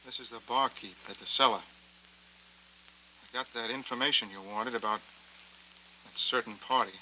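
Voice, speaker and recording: An actor playing a curt, shady barkeep in a 1940s film noir, on a humming vintage soundtrack. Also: male voice, mid-range voice, steady tone, measured pace, bright, forceful tone, a little hiss or hum, low-quality recording. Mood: guarded.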